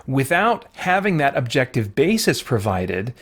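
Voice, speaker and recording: A man's voice: medium pitch, very animated, measured pace, a bit bright. A man hosting a review show, giving reflective, earnest, explanatory commentary straight to his viewers.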